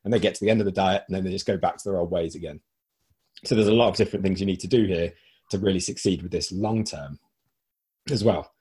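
A man co-hosting a podcast in a relaxed, explanatory way, his voice husky and strained as he loses it. He sits a touch low in pitch and leans on simple analogies to make his points. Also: quick pace. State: losing his voice. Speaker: podcast co-host. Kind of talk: casual podcast discussion.

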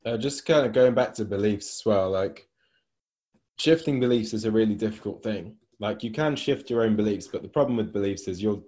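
A man with a mellow, darker tone and a lowish voice, chatting on a podcast as a coach. He talks loosely, with plenty of fillers like "like" and "you know". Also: fairly quick. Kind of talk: casual podcast discussion.

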